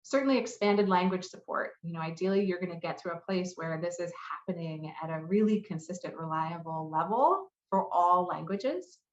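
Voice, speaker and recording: A woman's voice: lowish voice, animated, moderate pace, few pauses. A woman giving a business presentation and answering questions as a marketing lead. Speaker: conference presenter, head of customer marketing. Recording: roomy echo, slightly muffled sound.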